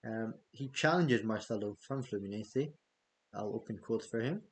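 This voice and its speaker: A man's voice: on the low side, moderate pace, a little hiss or hum. A man hosting a football fan vlog, chatting loosely with frequent fillers and self-corrections. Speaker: football fan channel host. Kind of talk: casual news vlog.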